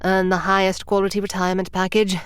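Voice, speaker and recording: A woman's voice: mid-range voice, monotone, quick pace, clean tone, no echo, close to an everyday voice. Woman voicing a prim veteran time traveler, formal and irritable, audio drama, dry close sound. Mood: earnest. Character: stiff, rule-bound time traveler near retirement. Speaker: senior time travel agent.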